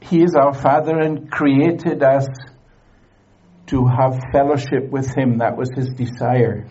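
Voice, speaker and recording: Slow, halting male preacher, dull recording. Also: medium pitch, steady tone, dark tone. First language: English. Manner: halting, many fillers and restarts. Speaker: guest preacher. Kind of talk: sermon with interpreter, short phrases.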